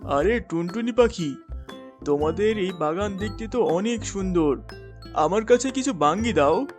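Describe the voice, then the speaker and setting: Man voicing a high-pitched, kindly eagle king. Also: animated, unhurried, faint music behind. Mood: friendly. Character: male eagle king of birds, kindly and fair. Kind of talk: children's cartoon character voice.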